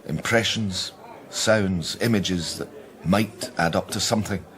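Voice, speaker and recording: An actor playing a weary, self-doubting middle-aged psychic detective with a Scottish accent, softly spoken and reflective in a naturalistic radio drama. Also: male voice, sits low, a little slow. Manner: hesitant, reflective. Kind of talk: naturalistic radio drama acting with first-person narration.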